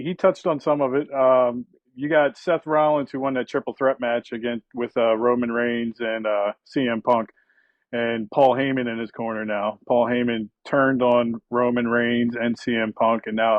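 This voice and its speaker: A man's voice: mid-range voice, no echo. A man talks sports on a podcast, upbeat and full of detail. His audio is dull and lo-fi, and it sounds dry and close. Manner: knowledgeable, upbeat. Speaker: podcast co-host. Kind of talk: casual sports chat.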